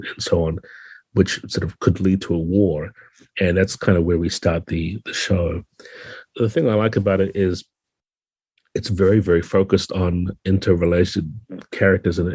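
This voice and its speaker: A man with a low, mellow voice talks as an interview guest, explaining things in a thoughtful, conversational way. His tone has a husky grain, and the sound is close and dry. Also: dry recording. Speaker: podcast guest, game designer.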